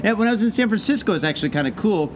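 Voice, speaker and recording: A man's voice: high-pitched, lively intonation, very fast. A man co-hosting a casual podcast in a bar, loose, joking and swearing freely, with faint bar noise behind. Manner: loose, profane and jokey, slips into put-on voices. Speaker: podcast co-host. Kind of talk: casual drinking chat.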